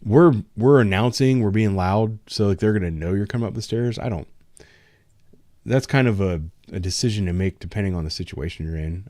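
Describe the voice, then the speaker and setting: Frank low-voiced man, dry close sound. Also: a little mellow, dry recording. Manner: frank, loose, many ums and restarts, occasional laughs. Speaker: patrol officer, show host. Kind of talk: casual livestream discussion.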